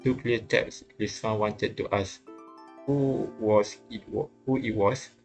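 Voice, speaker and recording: Low-pitched man, fry in the voice, narrating visual novel text, halting, repeats phrases, faint music behind. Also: fairly even intonation. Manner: often stumbles and repeats phrases. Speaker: host reading a visual novel aloud. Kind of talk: halting read-aloud narration of game text.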